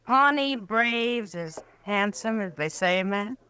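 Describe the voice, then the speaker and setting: A woman's voice: mid-range voice, expressive, slow, pushed and bright, clear, resonant tone. An actress playing a teasing, flirtatious frontier saloon woman in a radio western, with an American accent and a playful, needling manner. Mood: curious, sly. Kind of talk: old-time radio western acting.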